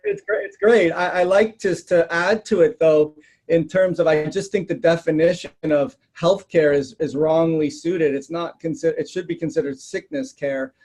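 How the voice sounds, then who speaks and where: Higher-pitched man hosting a podcast. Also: lively intonation, moderate pace, darkish tone. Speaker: podcast host, chiropractor. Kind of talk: casual conversational interviewing.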